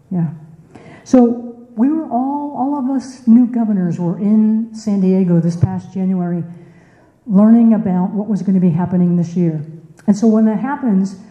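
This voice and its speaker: Female club speaker, conversational and informal, very mellow tone, dull reverberant room recording. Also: mid-range voice. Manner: conversational, asks the audience questions, repeats words for emphasis. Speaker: club district governor giving a speech. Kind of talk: informal presentation to a club audience. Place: indoor meeting room. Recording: echoey room, dull muffled sound.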